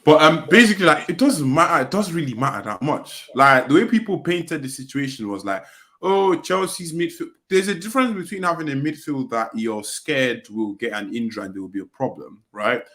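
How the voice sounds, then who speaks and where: A man on a podcast panel with a bright, lively voice that swoops up and down. He speaks with a headset over a video call, sounds sure of himself, and fills his sentences with "like". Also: mid-range voice, a little fast. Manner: emphatic, sprinkles "like" and "bro". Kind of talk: casual football chat. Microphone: headphones on a video call.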